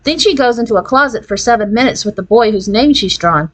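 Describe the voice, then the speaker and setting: A trendy, confident young girl from a children's storybook, played by a woman reader. She talks breezily, casually showing off, with a raised, girlish pitch. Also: animated, a little fast, a bit bright, low-quality recording. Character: trendy, self-assured thirteen-ish Californian girl, show-off.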